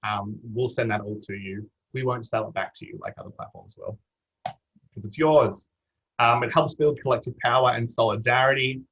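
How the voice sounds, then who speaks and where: A man's voice: medium pitch, fairly even intonation, moderate pace, fairly bright tone, husky. An Australian man presenting an online webinar in a casual, matey way. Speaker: webinar presenter from a campaign platform team. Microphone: video call. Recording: dull lo-fi video call audio.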